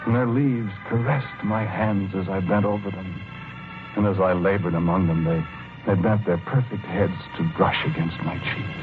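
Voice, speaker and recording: A deep-voiced, wistful husband acted by a man in a 1940s radio play, timid and halting as he muses. The recording is thin and narrow like an old broadcast, with music behind him. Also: fairly even intonation, close to an everyday voice. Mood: dreamy, wistful. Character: dreamy, timid husband obsessed with his lilies. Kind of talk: 1940s radio drama acting, first-person narration and dialogue.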